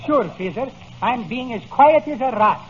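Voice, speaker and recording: A man's voice: extremely high pitch, expressive, normal speed. An actor playing a genial Greek immigrant man with a Greek accent, mangling his English in old-time radio comedy. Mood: cheerfully confused. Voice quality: broken English full of malapropisms. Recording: muffled, hissy vintage radio recording.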